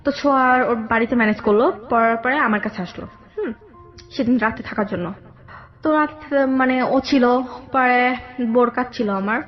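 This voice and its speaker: A young woman with a Bangladeshi accent telling a personal story conversationally, haltingly, often circling back over details. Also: on the high side, dull audio, soft background music. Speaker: guest telling her life story.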